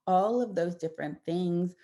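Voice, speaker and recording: A woman in her thirties gives an informal presentation as an audiologist, talking parents through her slides. Her voice is low and mellow, and she speaks conversationally, with plenty of fillers and self-corrections. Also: normal speed. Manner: conversational, warm explanations with frequent fillers and restarts.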